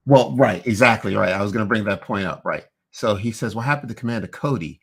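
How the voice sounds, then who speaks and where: A low-pitched man co-hosting a relaxed live-stream recap. He talks loosely and animatedly, restarting sentences and leaning on "you know" as he walks through each scene. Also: quick pace, husky. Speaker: podcast co-host. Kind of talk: casual live-stream chat recapping an episode.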